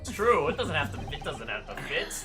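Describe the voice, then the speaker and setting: A woman's voice: deep voice, very animated, brisk, fairly bright tone. A woman giving casual commentary on a game stream. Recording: music under the voice.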